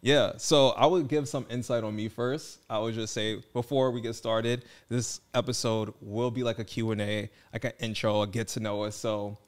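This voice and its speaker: Twenties guy on a podcast couch, clear smooth voice, teasing and animated. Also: lowish voice, moderate pace, few pauses. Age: 20s. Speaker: podcast co-host. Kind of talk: casual chat. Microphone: boom mic on stand.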